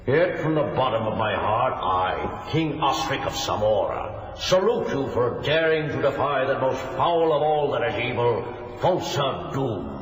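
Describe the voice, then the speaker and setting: A man's voice: medium pitch, very animated, unhurried, few pauses. An actor playing a proud, anguished king and father, speaking in a regal, declamatory way. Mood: proud, indignant. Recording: echoey hall, long reverb, noisy background.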